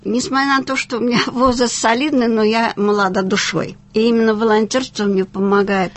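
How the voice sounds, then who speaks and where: A woman in her sixties with a slightly high voice talks in an interview. She is enthusiastic and talkative, telling of her experience in a conversational way. She comes from Tatarstan in Russia. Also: fairly even intonation, fairly quick. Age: 60s. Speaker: senior volunteer, interview guest.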